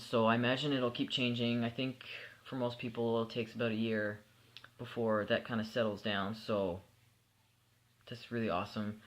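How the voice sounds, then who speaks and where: A young man chatting candidly in a casual personal vlog, relaxed and sleepy, with many fillers and restarts. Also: mid-range voice. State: sleepy at bedtime. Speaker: vlogger.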